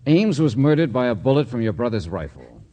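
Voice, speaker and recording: An actor playing a brisk, hard-boiled private investigator in a vintage radio drama, blunt and probing as he questions people. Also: mid-range voice, sing-song, warm tone, muffled recording. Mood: accusing, pressing.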